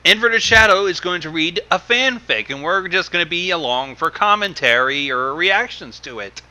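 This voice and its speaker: A man hosts a casual livestream with off-the-cuff commentary. His voice is extremely bright and forceful, with wide swings in pitch. He speaks haltingly and hesitantly. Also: expressive, poor audio. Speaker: livestream host.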